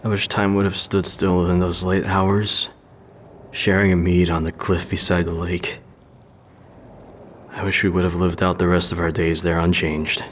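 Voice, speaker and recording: Low-voiced, jaded fantasy mercenary played by a man. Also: level tone, normal speed, slight background noise, lightly acted. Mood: wistful. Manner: dry, sarcastic, wistful. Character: jaded, sardonic male mercenary brewer haunted by war. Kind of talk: fantasy video game voice acting.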